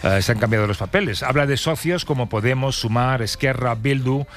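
A man's voice: medium pitch, brisk. A man hosting a radio show, bantering with wry, joking remarks in a casual comic style.

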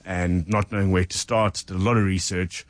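Deep-voiced man, steady storyteller. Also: moderate pace, dry recording. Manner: steady, methodical recounting. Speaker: head chef guest. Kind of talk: casual interview storytelling. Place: radio studio.

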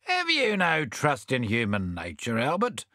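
A man's voice: mid-range voice, wildly swooping pitch, a little slow, sharp tone, no room sound, clean studio sound, full character voice. A man voicing a grumbling old male koala sailor in a storybook. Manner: grumbling, blustering.

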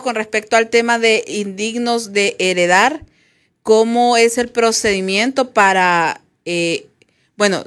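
A woman's voice: on the high side, fairly bright tone. A woman with a Honduran Spanish accent hosting a radio show, chatting informally and relaying messages from listeners. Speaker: radio host. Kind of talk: casual talk radio chat, reading listener messages.

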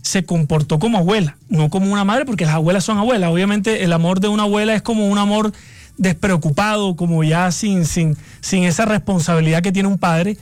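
A man's voice: sits high, a little fast, no room sound. A man in his thirties with a Barranquilla costeño accent, a singer talking as a guest in a radio interview, often repeating words while he searches for them. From Colombia, raised in Barranquilla.

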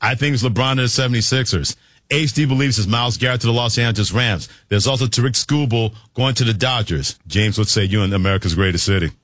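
A man's voice: mid-range voice, fast talker, a bit bright, no echo, studio quality. A man co-hosting a sports radio show, mixing punchy promo reads with banter.

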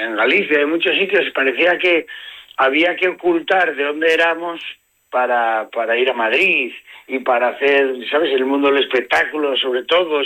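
Bright-voiced Asturian man, lively and joking. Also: mid-range voice, rough recording. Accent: Asturian Spanish. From Asturias, Spain. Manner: lively storyteller, laughs often, stretches and rushes phrases. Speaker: comedian guest. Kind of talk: casual interview chat.

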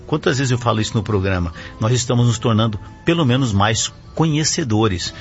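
A man's voice: medium pitch, a little fast. Chatty male radio pastor, lo-fi sound. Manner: chatty, explanatory, often adds "né?". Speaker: radio program host and pastor. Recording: lo-fi radio broadcast with faint music.

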